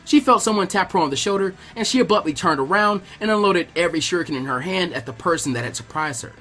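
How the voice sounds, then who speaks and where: A man reading fan fiction as animated scripted narration, switching into character voices. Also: high voice, quick pace. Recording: music behind the reading.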